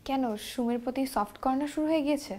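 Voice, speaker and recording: A woman's voice: sits high, fast talker, dark tone, close to an everyday voice. An actress with a Bangladeshi accent playing an insistent, possessive young woman in a TV drama, dropping in English phrases. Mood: insistent, jealous. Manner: pressing, mixes in English phrases. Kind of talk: natural TV drama acting.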